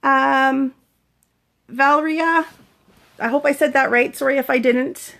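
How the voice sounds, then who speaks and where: A woman's voice: high-pitched, steady tone, measured pace, creaky. A woman in her forties from Canada, a fitness coach talking casually and earnestly to viewers about recovering from a concussion. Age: 40s. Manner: chatty, earnest, breaks off to greet viewers brightly. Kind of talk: casual live-stream vlog, greeting viewers.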